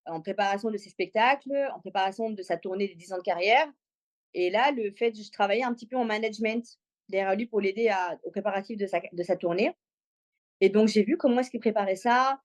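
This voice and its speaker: Woman, medium pitch, talkative, informal slangy storytelling, interview guest. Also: a little fast. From Congo, moved to Belgium aged six. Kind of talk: casual personal storytelling in an interview.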